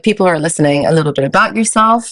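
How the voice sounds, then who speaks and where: A woman's voice: lowish voice, rapid-fire, fairly bright tone, no room sound, studio quality. A woman in her thirties hosting a podcast, asking questions in a casual, conversational way. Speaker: podcast host.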